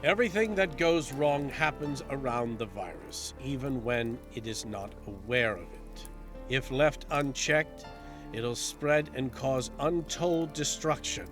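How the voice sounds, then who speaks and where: A man's voice: mid-range voice, unhurried, over music, lightly acted. A man playing a grave, formal councilor of another realm in an audio drama, solemn and matter-of-fact. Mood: cold verdict.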